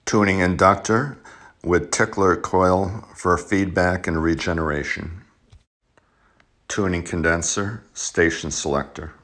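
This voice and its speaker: Slow, low-pitched man giving instructions. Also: fairly even intonation, a bit bright. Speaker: video host, radio demonstrator. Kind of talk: instructional demonstration narration.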